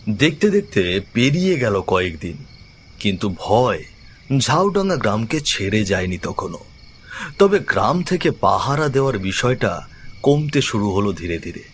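A man narrating a scripted horror story in a measured, suspenseful way, phrase by phrase. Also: lowish voice, very animated, slight background noise.

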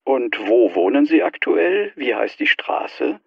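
A man's voice: mid-range voice, big pitch swings, slow pace, dry recording, highs cut off, full character voice. A man voicing a polite language school receptionist in a scripted German listening exercise. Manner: polite, clear phrasing. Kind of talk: scripted dialogue for German learners.

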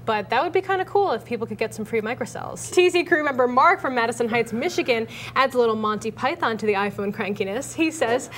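A woman's voice: on the high side, expressive, fast talker, hardly any pauses, a bit bright. A young American woman co-hosting a tech show in a studio, talking in an informal, conversational way. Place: TV-style studio at a desk. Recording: faint background noise.

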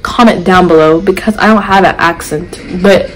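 A woman's voice: fairly low pitch, moderate pace, noisy background. A woman from Ohio giving rambling, casual commentary while playing a mobile game, often exasperated with it, recorded on a webcam in a small room. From Ohio, USA. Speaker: gaming channel host. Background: loud game music.

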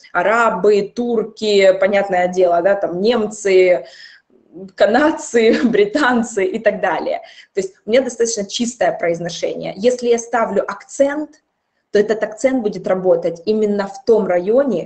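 A woman in her thirties teaching an English grammar lesson, explaining step by step and repeating example phrases slowly, recorded by a webcam in a small room. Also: medium pitch, darkish tone.

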